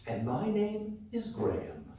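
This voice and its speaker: A man's voice: sits high, sing-song, slow pace, warm tone, heavily acted. Man as a soothing meditation guide, very echoey hall. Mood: soothing, deadpan. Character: soothing male guided-meditation host, deadpan comic. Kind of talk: spoof guided meditation on comedy radio. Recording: echoey hall stage, distant mic.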